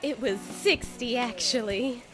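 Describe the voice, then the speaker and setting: A woman's voice: on the high side, measured pace, music in the background, natural voice, rough recording. A woman playing a proud young flapper socialite in an old time radio drama, coy and drawling. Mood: defensive.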